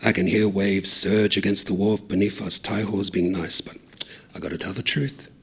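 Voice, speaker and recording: Male storyteller, husky and gravelly, lively delivery. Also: low voice, moderate pace. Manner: lively, acts out the dialogue, shouting some lines and dropping to a hush on others. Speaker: reader of a short story. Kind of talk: dramatic storytelling with character voices.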